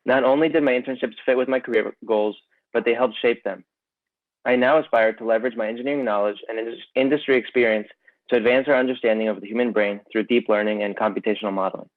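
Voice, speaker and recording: A man's voice: medium pitch, fairly even intonation, quick pace, no echo. A man in his twenties from Staten Island, New York, formally reading a prepared testimonial as a student guest speaker. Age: 20s. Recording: thin phone-like radio-style sound, dry.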